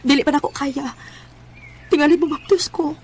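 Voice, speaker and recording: A woman's voice: sits high, steady tone, moderate pace, a little mellow, a little hiss or hum, close to an everyday voice. An actress with a Cebuano accent playing an emotive, lovelorn young woman in a radio drama. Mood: frightened pleading. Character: young woman Hope, lovelorn girlfriend turned firm narrator. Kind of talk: Bisaya radio drama acting.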